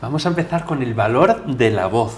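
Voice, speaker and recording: A man's voice: mid-range voice, expressive, moderate pace. Male podcast interviewer, faint background noise. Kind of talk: conversational interview with introductions.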